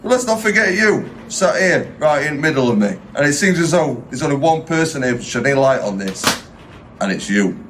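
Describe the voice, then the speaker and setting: An actor playing a blunt, cynical police detective in an audio drama, with a formal, sardonic way of talking. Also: male voice, on the high side, lively intonation, brisk, fairly bright tone, a little hiss or hum. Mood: pointed.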